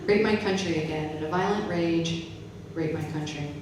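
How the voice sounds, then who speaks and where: A woman's voice: low-pitched, normal speed. A woman poet and professor reading her own poems live at an event. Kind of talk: live spoken-word poetry reading. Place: reverberant event room with an audience. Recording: very echoey room, distant mic, noisy.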